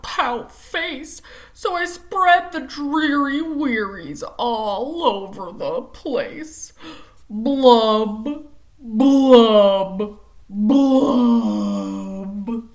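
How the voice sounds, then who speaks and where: A woman voicing a glum, gloomy male fish with a pout, in a slow, drawn-out, mournful singsong from a picture book read-aloud. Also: fairly high pitch, animated, exaggerated voice.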